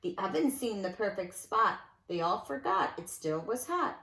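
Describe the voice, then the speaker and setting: A woman reading a picture book aloud to young children in an expressive storytelling style, with dramatic emphasis and conversational asides. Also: medium pitch, moderate pace. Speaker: nursery school teacher reading a storybook aloud. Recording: echoey room sound.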